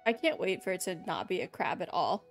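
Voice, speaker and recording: A woman's voice: lowish voice, big pitch swings, brisk. A woman giving casual reaction commentary as she streams a game. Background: game music.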